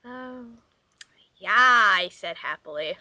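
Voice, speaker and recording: A woman bantering and reading aloud in an expressive, exclamatory, halting way. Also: mid-range voice, no echo. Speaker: player in a dramatic group reading game.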